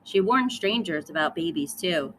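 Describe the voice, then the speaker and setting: Female children's read-aloud narrator: expressive, highly animated delivery, slightly noisy recording. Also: moderate pace. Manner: dramatic emphasis. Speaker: storybook reader.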